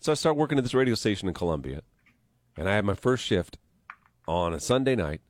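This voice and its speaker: Lively low-voiced male radio host. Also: fast. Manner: joking, storytelling, trails off quietly. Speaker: radio morning show host. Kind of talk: casual on-air banter. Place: radio studio. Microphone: studio microphone.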